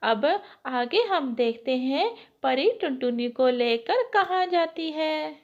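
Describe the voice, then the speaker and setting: A woman's voice: sits high, expressive, takes it slowly, muffled recording. A woman narrating a scripted children's story as the storyteller.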